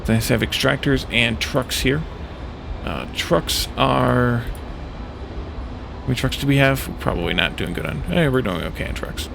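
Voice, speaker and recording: A man giving casual gameplay commentary as a let's play host, thinking aloud in a hesitant, halting way. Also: medium pitch, creaky, noisy background. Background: music in the background.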